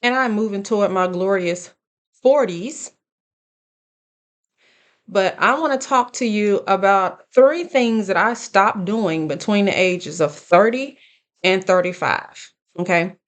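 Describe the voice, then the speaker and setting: A woman in her late thirties giving casual, earnest life advice straight to her viewers, speaking deliberately and checking in with them. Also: mid-range voice. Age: late 30s. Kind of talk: casual direct-to-camera advice talk.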